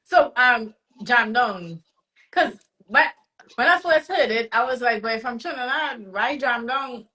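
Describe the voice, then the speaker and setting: Bright high-voiced woman hosting a chat. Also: a little slow, low-quality recording. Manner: laughs often, animated and teasing. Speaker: podcast host. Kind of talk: casual interview chat.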